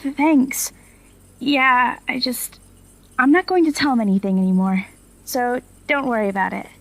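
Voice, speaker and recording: A woman's voice: sits high, unhurried, fairly bright tone, rough recording. A young woman playing an apologetic, eager college student in an audio drama, speaking with a hesitant, friendly manner. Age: young adult. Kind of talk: naturalistic audio drama acting.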